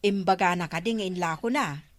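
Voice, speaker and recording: A woman's voice: on the low side, brisk, natural voice. A woman with an Ilocano accent playing a curious, gossiping villager in a radio comedy drama. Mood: questioning.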